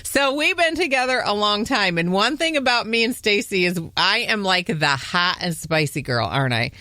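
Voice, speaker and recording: A bubbly woman in her thirties from Michigan hosts a chatty podcast. Her voice is bright and forward. It sits in a dry, close studio sound. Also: medium pitch, expressive, moderate pace, dry recording. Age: 30s. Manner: bubbly, laughs often, cheers. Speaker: podcast host. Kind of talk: casual chat.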